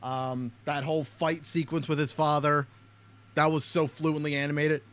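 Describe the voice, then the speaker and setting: A man with a voice a little higher than most hosts a reaction chat. He talks casually and bluntly, with plenty of swearing. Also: flat delivery, moderate pace, fairly bright tone, smooth. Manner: blunt, heavily profane. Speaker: host of a reaction video.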